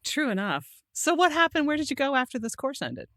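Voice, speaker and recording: A woman's voice: sits high, very animated, brisk, a bit bright. A woman hosting an interview, asking short questions and offering brief encouraging replies. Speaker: podcast host, interviewer.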